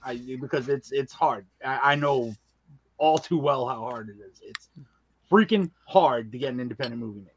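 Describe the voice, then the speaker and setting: A man's voice: medium pitch, moderate pace, a little hiss or hum, low-quality recording. A man in casual conversation as a guest, emphatic and repeating phrases to drive points home. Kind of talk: casual chat.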